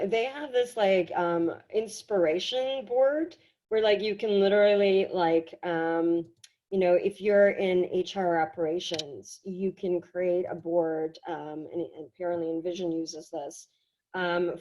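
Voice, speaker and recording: Slightly hoarse, lower-voiced woman presenting. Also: dark tone. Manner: halting, conversational explaining. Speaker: workshop presenter. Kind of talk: casual screen-share software tutorial. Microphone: video call.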